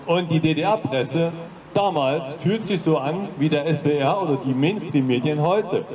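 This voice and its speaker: A man's voice: fairly high pitch, unhurried, darkish tone, distant mic. A man in his fifties from eastern Germany, giving a short, direct protest statement at an open mic on a city street. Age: 50s. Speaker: demo speaker at open mic. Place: city street outside a broadcast building.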